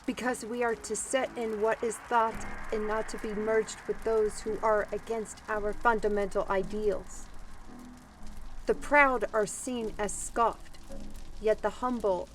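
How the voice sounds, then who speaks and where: A woman's voice: mid-range voice, fairly even intonation, unhurried, slight background noise, close to an everyday voice. A woman playing a bold, irreverent young human in a fantasy audio roleplay, speaking in formal storybook phrases with a cheeky edge. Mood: earnest, reflective.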